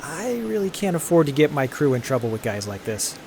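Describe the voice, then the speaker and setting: A man playing a friendly, excitable starship mechanic in an audio drama. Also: fairly high pitch, a little fast, gravelly, a little hiss or hum. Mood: concerned.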